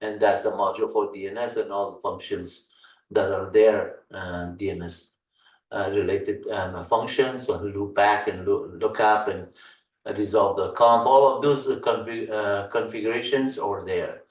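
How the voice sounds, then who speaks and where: A man from Afghanistan with an Afghan accent, a native Farsi speaker, teaching a Linux course in a screen-share walkthrough and speaking haltingly with many "uh" fillers. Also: on the low side, steady tone, far from the mic. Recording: roomy echo, mic set back.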